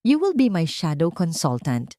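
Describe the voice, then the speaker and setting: Woman reading as a cold rich ceo guy, terse and deliberate, audio drama, dry recording. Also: fairly low pitch, huge pitch swings, warm tone, dry recording. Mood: cool, decisive. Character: commanding, cold, wealthy male CEO with hidden sorrow.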